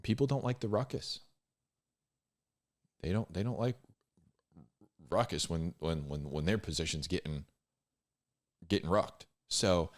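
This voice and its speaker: A man giving informal, off-the-cuff Bible commentary in a conversational, halting way, repeating phrases for emphasis. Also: low-pitched, darkish tone. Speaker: Bible storyteller and commentator, host.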